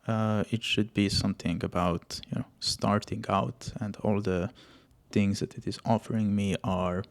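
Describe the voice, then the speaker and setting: Slow gravelly man. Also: on the low side, steady tone. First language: Serbian. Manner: halting, muttered asides, trailing off in whispers. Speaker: software engineer. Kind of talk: thinking aloud while coding.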